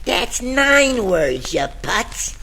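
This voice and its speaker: A man's voice: very high voice, big pitch swings, extremely slow, pushed and bright, slight background noise, exaggerated voice. A man playing a streetwise, unimpressed tough guy with a needling, slangy delivery in comic old-time radio detective style. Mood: pedantic, exasperated. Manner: needling, mocking, pedantic, slangy.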